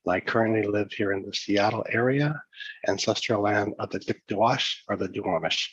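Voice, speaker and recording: A man with a low, husky voice speaks in a measured, even way as he introduces himself to a panel. The sound is dull and lo-fi, close and dry with no room around it, like a video call. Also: no room sound. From northern British Columbia. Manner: opens with a greeting in his native language, measured. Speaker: program manager and trainer.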